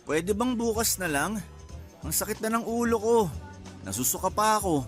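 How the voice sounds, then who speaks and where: A man's voice: sits high, lively intonation, moderate pace, fairly bright tone, soft background music. An actor playing a young male suitor, defensive and apologetic, in a Philippine radio drama. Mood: groggy, complaining. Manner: pleading, defensive.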